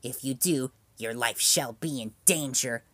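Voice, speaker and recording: A man's voice: medium pitch, measured pace, pushed and bright, dry recording, pitched below the performer's own voice. A man voicing a brash schoolgirl who speaks in grand, haughty declarations. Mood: grandiose. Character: brash schoolgirl posing as a dark servant.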